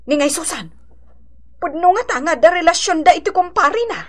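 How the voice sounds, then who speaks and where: A high-voiced, nosy village gossip woman. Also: lively intonation, a little fast. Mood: teasing, gossipy. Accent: Ilocano. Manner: teasing, exclaiming, conspiratorial. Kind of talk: Ilocano radio drama acting.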